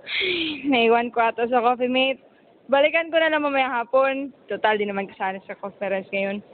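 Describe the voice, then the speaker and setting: A woman playing a brisk young professional with a Filipino accent, bossy yet coy, in an amateur romance radio drama. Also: on the high side, close to an everyday voice. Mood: musing. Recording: tinny phone-like band, faint background noise.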